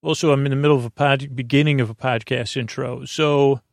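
An American man hosting a bedtime podcast in a creaky, soothing voice, meandering and stumbling through a halting monologue. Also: medium pitch, fairly even intonation, no echo, studio quality. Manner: meandering, halting, stumbling over words and drifting off on tangents; soothing, lulling. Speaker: podcast host.

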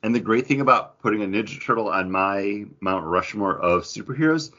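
Bright, low-voiced man chatting casually. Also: lively intonation, a little fast, muffled recording. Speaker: podcast co-host. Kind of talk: casual geek-culture panel chat.

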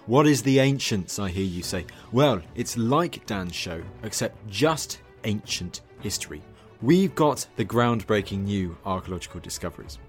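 A man's voice: lowish voice, expressive, moderate pace, hardly any pauses. A man who hosts a podcast, giving an upbeat, conversational promo for it. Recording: music bed behind the voice. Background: music.

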